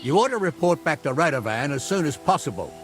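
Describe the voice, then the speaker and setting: An actor playing a gruff but kindly older medieval captain in a video game, with a gravelly voice. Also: male voice, a little high, very animated, quick pace, a bit bright. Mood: gruffly warm. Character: gruff older male medieval captain, hearty and begrudgingly kind. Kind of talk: video game drama acting.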